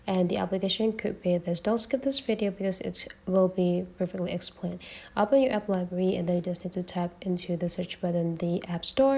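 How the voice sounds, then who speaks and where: A woman with a fairly low, creaky voice hosts a how-to tutorial. She is a non-native English speaker and walks through phone steps one at a time. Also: a little mellow. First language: not English. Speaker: tutorial host. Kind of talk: step-by-step phone tutorial narration.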